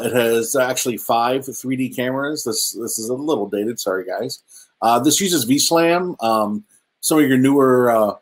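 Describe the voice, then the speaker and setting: A man's voice: mid-range voice, low-quality recording. A middle-aged man casually presenting slides over a webcam video call, hesitant and chatty with many ums and restarts. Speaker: presenter at a virtual meetup. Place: indoor room at home.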